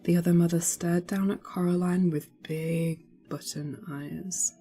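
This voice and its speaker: A woman's voice: on the low side, steady tone, unhurried, dark tone, faint music behind. A woman streamer reading a novel aloud as storybook narration, with casual asides.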